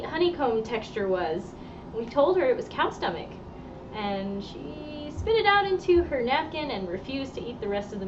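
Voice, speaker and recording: A young woman chatting casually on a live stream while sewing, opinionated and quick to laugh. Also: sits high, distant mic, highs cut off, noisy background. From Argentine heritage. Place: indoor sewing workroom.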